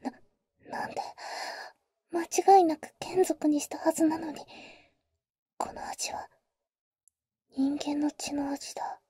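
A woman's voice: high-pitched, steady tone, no room sound. A woman acting a whispering, doting vampire big sister. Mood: puzzled, craving. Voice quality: soft, breathy, mostly whispered. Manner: slow, tender, teasing, boyish casual phrasing. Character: doting, teasing older-sister female vampire. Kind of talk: intimate Japanese roleplay ASMR. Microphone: close, dry ASMR microphone.

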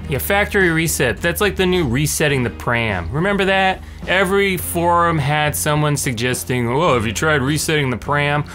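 A man in his thirties talking casually to camera as a tech video host, with a sardonic, animated ranting manner. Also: fairly high pitch. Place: small cluttered home room. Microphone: large condenser mic on a stand beside him.

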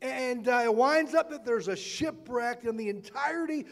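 Older male pastor, notably high voice, earnest emphatic sermon delivery, big reverberant hall. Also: fairly bright tone. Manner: earnest, emphatic preaching with drawn-out key words. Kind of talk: sermon for an online church broadcast. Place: church stage in a large auditorium.

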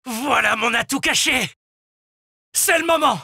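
A man voicing a spirited, brave young cat-eared male adventurer in a video game, earnest, intense and dramatic, with a husky voice. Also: very high voice, measured pace, pushed and bright, no room sound, studio quality, heavily acted. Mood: fierce battle cry. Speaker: video game party companion. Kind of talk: French video game battle lines.

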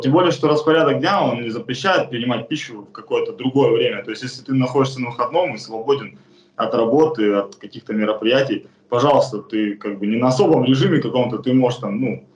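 A man's voice: mid-range voice, fast, echoey room, muffled recording, slight background noise. A man in his thirties telling his own case straight to a close camera in a bare indoor room, speaking plainly and earnestly with frequent hesitations. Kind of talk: first-person testimony to camera.

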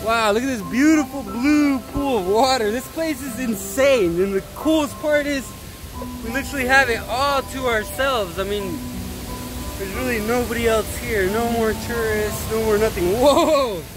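A man vlogging outdoors by a jungle waterfall, excited and awestruck, exclaiming again and again as he explores. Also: very high voice, normal speed, over music, low-quality recording. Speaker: travel vlogger. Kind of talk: casual travel vlog narration.

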